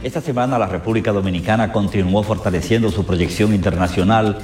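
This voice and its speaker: Low-voiced male news narrator over music. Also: fast. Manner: formal, even. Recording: echoey voice over loud music.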